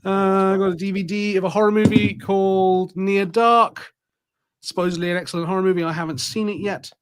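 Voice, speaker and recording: A man co-hosting a podcast, chatting casually in a halting, hesitant way. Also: high voice, steady tone, background noise. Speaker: podcast co-host. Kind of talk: casual chat.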